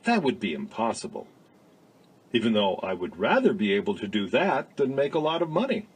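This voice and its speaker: A man playing an earnest, modest young Athenian in a dramatised dialogue reading, with a husky, rough voice. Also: fairly low pitch, wildly swooping pitch, fairly quick, full character voice. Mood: earnest protest.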